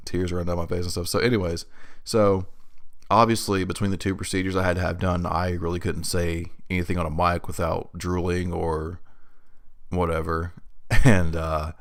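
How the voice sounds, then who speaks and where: A young man with a very low voice and plenty of vocal fry, talking loosely into his mic at home. He's getting over a head cold and rambles with fillers and restarts. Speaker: podcast host. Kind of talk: casual solo podcast update. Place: his room in a new apartment. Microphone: new home studio mic.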